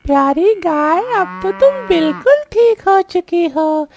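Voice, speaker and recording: A man voicing a sweet little village boy in a cartoon story, talking in a slow, childlike, pleading way. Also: very high voice, big pitch swings, gravelly, darkish tone, pitched above the performer's own voice, full character voice.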